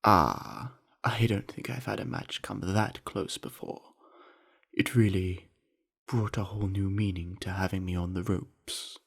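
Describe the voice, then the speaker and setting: A man playing a friendly, earnest young orc university jock in an ASMR roleplay, chatting easily in a gravelly, husky voice with musing pauses. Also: sits low, fairly bright tone, exaggerated voice. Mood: curious musing. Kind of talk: scripted ASMR roleplay addressed to the listener.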